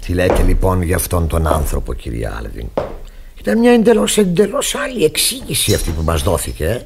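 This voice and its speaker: An actor playing a pompous, naive middle-aged pastor in a radio play, effusive and easily flustered. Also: male voice, sits low, huge pitch swings, gravelly, background noise, heavily acted. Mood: self-satisfied. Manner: effusive, sermonizing, stammering when flustered. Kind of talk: radio theatre acting.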